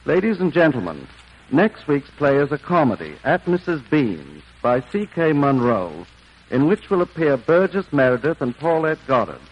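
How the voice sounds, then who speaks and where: A man's voice: on the high side, sing-song, moderate pace, muffled recording. A man hosting a 1940s radio program, previewing next week's play with a genteel, lightly witty delivery. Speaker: program host.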